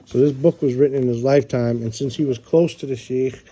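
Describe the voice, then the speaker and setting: A middle-aged American man showing and describing books in a relaxed, rambling way, with many fillers and restarts. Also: medium pitch, a little fast. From United States. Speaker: book reviewer. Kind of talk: casual book show-and-tell.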